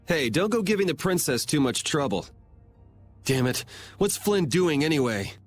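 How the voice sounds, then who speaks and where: A man's voice: on the high side, very animated, normal speed, faint music behind. An actor playing a weary, cynical young man in a video game, grumbling in a casual, exasperated way.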